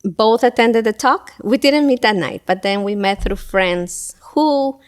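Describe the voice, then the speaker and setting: A middle-aged woman speaks at a middle pitch, typical for her sex. She talks as a guest in a relaxed interview, thinking aloud with frequent pauses and fillers. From Ecuador. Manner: thoughtful, many pauses and fillers. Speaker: guest, school event coordinator. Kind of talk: casual interview talk.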